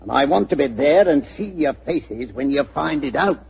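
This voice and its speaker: A gruff blustering police inspector. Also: male voice, on the high side, animated, normal speed, darkish tone, faint music behind. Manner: gruff, blustering, self-assured. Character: blustering police inspector, middle-aged man. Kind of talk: 1940s radio acting. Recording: muffled old radio recording.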